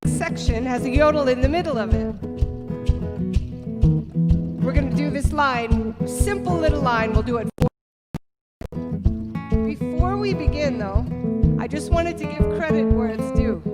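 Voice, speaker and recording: An upbeat woman teaches a singing workshop in a slightly high voice, explaining each part with encouragement. A guitar plays loudly behind her. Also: animated, moderate pace. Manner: upbeat, encouraging, explains then sings call-outs. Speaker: music workshop leader.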